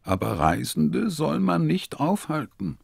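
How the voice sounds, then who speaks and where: A man voicing a kindly old grandmother who speaks softly and reassuringly at bedtime. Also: on the high side, very animated, a little slow, creaky, breathy edge, no echo, studio quality, pitched above the performer's own voice, exaggerated voice. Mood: soothing. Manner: soft, soothing, reassuring. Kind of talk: audiobook character voice.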